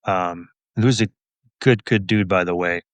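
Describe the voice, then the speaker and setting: A middle-aged man, an author being interviewed, chatting casually in an understated, measured way. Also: deep voice, steady tone, no echo. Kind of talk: casual chat.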